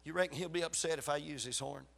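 An older man with a Southern US accent preaching in a folksy, emphatic storytelling way, his voice slightly raspy from a lingering cold. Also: fairly high pitch, fast, a bit bright. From South Carolina, USA. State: getting over a cold. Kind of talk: sermon to a congregation.

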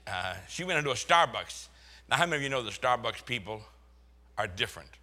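A man's voice: medium pitch, sing-song, fairly quick, bright, forceful tone. An older man from Boston with a Boston accent, a pastor preaching a sermon full of folksy, chuckling stories.

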